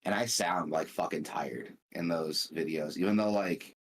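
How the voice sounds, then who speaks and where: Husky, groggy man on a podcast. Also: moderate pace. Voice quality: low groggy voice with a flat, tired-sounding tone. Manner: rambling, full of "like" fillers and swearing. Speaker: podcast co-host. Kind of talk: casual chat.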